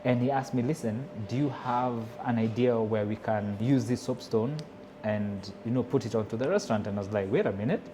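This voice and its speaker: Male, Kenyan accent, mid-pitched, relaxed conversational interview delivery as a chef, noticeable background noise. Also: a little fast. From Nairobi, Kenya. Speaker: chef and restaurant owner.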